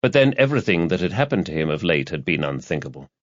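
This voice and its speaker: A man narrating a thriller audiobook from a script in a measured, dramatic storytelling manner. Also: low voice, big pitch swings. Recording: dull lo-fi audio, very dry.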